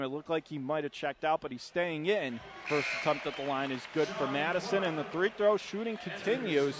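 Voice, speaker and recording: A man doing live play-by-play basketball commentary on the radio in animated, clipped bursts. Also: on the high side. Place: indoor basketball arena.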